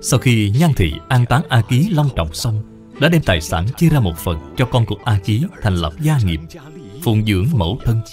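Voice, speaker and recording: Lively, lowish-voiced male storybook narrator. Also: normal speed, faint music behind. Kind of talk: scripted storybook narration for a moral cartoon.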